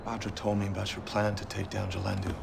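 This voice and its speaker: A man's voice: on the low side, monotone, fast, creaky, very noisy. An actor playing a young male guerrilla fighter in a video game, speaking tersely and plainly. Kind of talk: video game cutscene dialogue.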